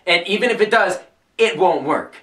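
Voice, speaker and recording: A man's voice: high-pitched, moderate pace, fairly bright tone. A young man acting an opinionated, skeptical geek in a scripted comic debate, emphatic and dismissive. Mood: argumentative, dismissive. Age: young adult. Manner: emphatic, argumentative. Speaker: actor in a web comedy sketch. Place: small furnished room indoors. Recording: roomy, mic some distance away.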